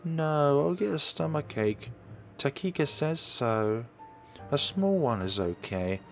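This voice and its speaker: A cheerful young schoolgirl in a visual novel, played by a man. The voice is lifted well above his usual pitch. It is earnest and chatty, with faint music behind it. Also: big pitch swings, slow pace, heavily acted.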